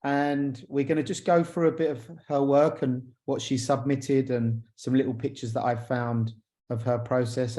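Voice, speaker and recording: A man's voice: medium pitch, warm tone. A man acting as interviewer, informally hosting an online conversation in a tentative, conversational way. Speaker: interviewer, awards chair. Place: video call.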